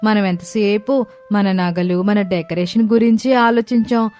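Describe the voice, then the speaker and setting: A woman's voice: mid-range voice, steady tone, a little slow, mellow, faint music behind, close to an everyday voice. A woman voicing a proud, rich young daughter-in-law in a family story, speaking emphatically. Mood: remorseful. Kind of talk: scripted story dialogue.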